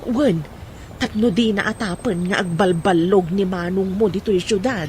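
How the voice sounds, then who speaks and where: A woman's voice: medium pitch, normal speed, close to an everyday voice. A woman playing a proud, grateful middle-aged village mother of modest means in an Ilocano radio comedy drama. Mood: warm pride.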